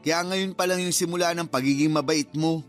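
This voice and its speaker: A man's voice: a little high, steady tone, brisk, faint music behind, lightly acted. An actor playing a playful young male cousin in a radio drama, joking and teasing. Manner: joking, bantering.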